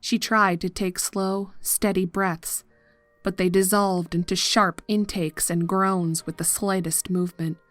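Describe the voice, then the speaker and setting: A woman narrating a scripted horror story in a measured, dramatic manner. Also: mid-range voice, steady tone, fairly bright tone, dry recording.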